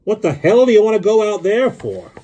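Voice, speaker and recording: A man voicing a gruff, foul-mouthed male snowplow driver in a storybook, hollering his words. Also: high voice, very animated, fairly quick, warm tone, voice pushed up. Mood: gruff, loud.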